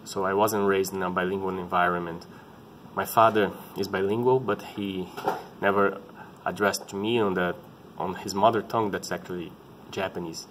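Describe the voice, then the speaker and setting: A man in his thirties with a Brazilian Portuguese accent, speaking English as a second language, telling his story in a halting and earnest way, often restarting to find words. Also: low voice, steady tone, a bit bright, slight background noise. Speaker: parent sharing his experience. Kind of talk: casual personal vlog talk.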